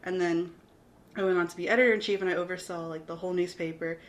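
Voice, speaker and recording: A woman's voice: on the low side, fairly even intonation, fast talker. A young adult woman from Austin, Texas, a graphic designer guest talking casually, rambling and modest with many fillers. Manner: rambling, heavy on "like", giggly and modest. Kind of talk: casual interview chat.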